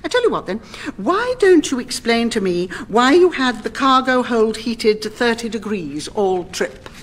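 A woman's voice: fairly high pitch, sing-song, unhurried. An actress playing an imperious, sarcastic middle-aged English woman who runs an airline in a radio sitcom. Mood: stern, sarcastic. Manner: withering, sarcastic, bossy.

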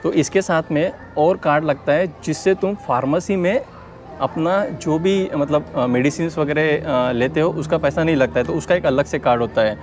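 Indian guy in his 30s vlogging from a store aisle, kinda high voice, earnest, explains every step, clip mic. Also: normal speed. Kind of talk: casual vlog talk mixing Hindi and English. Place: big-box store aisle, indoors.

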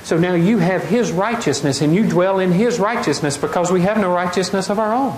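A middle-aged man preaching a lively sermon, often asking the congregation questions. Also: high-pitched, brisk. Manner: animated, gestures widely, asks the congregation questions. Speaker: pastor. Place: large wooden open-air church hall. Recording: echoey hall, faint noise.

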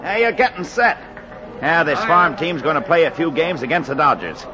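An actor playing a brisk, colloquial man in authority in a 1940s radio play. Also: fairly high pitch, lively intonation, natural voice. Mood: commanding. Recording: noisy, muffled 1940s radio recording.

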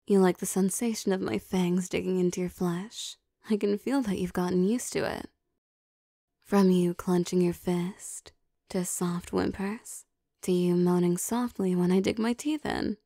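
Woman playing a soft, creaky-voiced vampire girlfriend. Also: medium pitch, no room sound, clean studio sound. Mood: teasing, coaxing. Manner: breathy coaxing, playful, hesitant pauses. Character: affectionate, possessive, teasing young vampire girlfriend. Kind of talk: ASMR girlfriend roleplay, intimate and soft.

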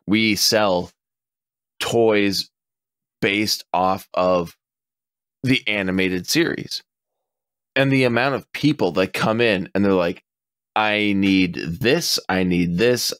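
Forty-something guy on a podcast, lowish voice, dry close sound, gets fired up and swears a lot. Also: no room sound. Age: 40s. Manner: animated, swears casually, acts out customers' lines. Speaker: podcast co-host. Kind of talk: casual podcast chat.

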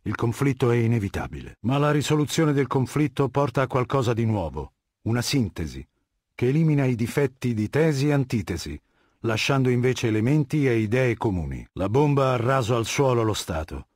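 Game villain: middle-aged dictator warlord, medium-pitched husky voice, articulate, condescending, ruthless. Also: male voice, sing-song, a little fast, a little mellow, no echo, studio quality. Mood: lecturing, condescending. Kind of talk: video game voice acting.

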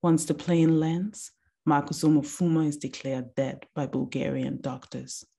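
A woman in her thirties giving a slow, deliberate reading of a reflective text over a video call from a small room. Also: deep voice, level tone, vocal fry, warm tone, husky. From Austria.

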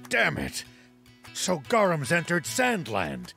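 A man voicing an older, steady war veteran in a video game, measured and resolute. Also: a little high, gravelly, faint music behind, heavily acted.

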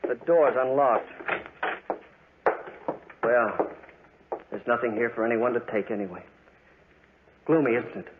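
An actor playing a horrified, agitated heir to a gloomy house in 1940s radio acting style. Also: male voice, medium pitch, measured pace, long pauses, close to an everyday voice. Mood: grim, uneasy. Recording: noisy, phone-like 1940s radio recording.

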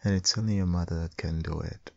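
A man with a gravelly, husky voice doing a soft, intimate boyfriend ASMR roleplay as a loving, doting husband and father. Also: low-pitched, fairly even intonation, lo-fi sound. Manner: tender, doting, slow, murmured with soft hums.

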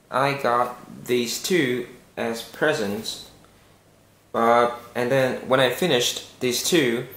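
Slow, earnest young man, echoey room. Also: mid-range voice, fairly bright tone. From Vietnam. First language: Vietnamese. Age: young adult. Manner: slow, careful and earnest, with some hesitations. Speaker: vlogger. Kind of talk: casual vlog to camera. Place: small indoor room with a bare wall.

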